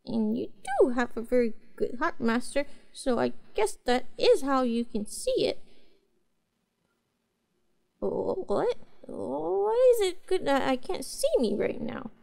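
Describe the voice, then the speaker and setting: Cute neko girl: high, swooping voice, childlike and earnest, for roleplay audio. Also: sing-song, vocal fry, darkish tone, heavily acted. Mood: touched, puzzled. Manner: hesitant, childlike, halting phrasing. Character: cute, earnest, naive young cat-girl pet. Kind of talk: roleplay audio drama, speaking to the listener.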